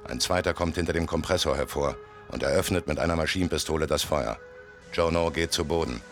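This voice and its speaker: Radio play casting: a tough, tight-lipped mobster narrator with a very low register, over music. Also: male voice, fairly quick. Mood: grim, detached. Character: tough, laconic male gangster recounting his rise.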